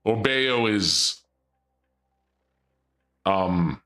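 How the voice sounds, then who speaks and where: A young man streaming and chatting casually, rambling and joking in a theatrical dark-lord persona. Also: lowish voice, animated, drawn-out words, faint music behind.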